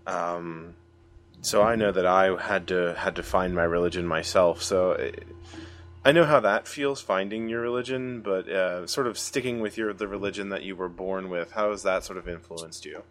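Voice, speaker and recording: A man's voice: low-pitched, normal speed, a bit bright. An American man hosting a casual podcast chat, with playful introductions and loose, thinking-aloud questions. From United States. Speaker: podcast host.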